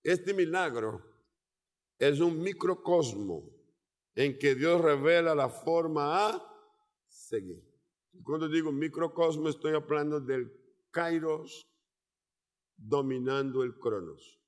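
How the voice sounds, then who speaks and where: A man with a Portuguese accent, a Portuguese native speaker preaching a sermon as a missionary, speaking in slow, deliberate phrases with measured pauses. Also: fairly high pitch. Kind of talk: sermon with personal testimony. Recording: long reverb of a large hall.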